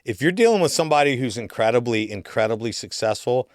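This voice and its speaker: A man's voice: medium pitch, lively intonation, fast, no room sound, studio quality. A man in a casual podcast chat, talking in a slangy, emphatic way and often checking that the listener follows. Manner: slangy, emphatic, often checks with "you know what I'm saying". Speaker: podcast guest or co-host talking about real estate work.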